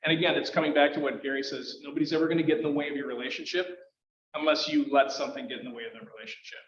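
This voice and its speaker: A man's voice: a little high, steady tone, racing, a little hiss or hum. Emphatic man on a real estate panel. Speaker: real estate team leader. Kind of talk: panel discussion. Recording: echoey room, muffled sound.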